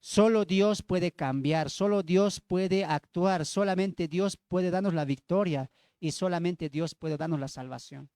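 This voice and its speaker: Male evangelical preacher, Bolivian accent, high pitch, emphatic teaching style full of questions, dry sound. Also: unhurried, a little mellow, clear, resonant tone, no echo. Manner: emphatic, repeats phrases, asks rhetorical questions. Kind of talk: sermon with Bible teaching.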